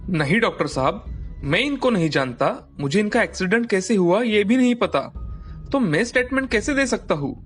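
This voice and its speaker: Man playing an earnest, high-voiced humble rickshaw driver. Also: lively intonation, moderate pace, slightly rough, faint music behind. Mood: anxious, earnest. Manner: polite, earnest. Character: honest, humble young rickshaw driver, a devoted father. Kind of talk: dramatized Hindi moral story.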